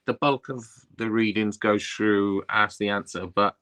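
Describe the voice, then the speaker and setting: Casting: easygoing young Welsh guy, lowish, bright tone, loose rambling chat. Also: steady tone, unhurried, smooth. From Wales. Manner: laid-back, rambling, lots of "you know what I mean". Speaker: tarot reader guest. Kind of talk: casual interview chat.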